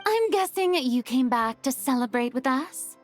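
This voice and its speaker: Flamboyant, high-voiced young star. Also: female voice, lively intonation, normal speed, soft background music. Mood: proud, upbeat. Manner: theatrical, grand. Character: flamboyant, proud young female showbiz star. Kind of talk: video game voice acting.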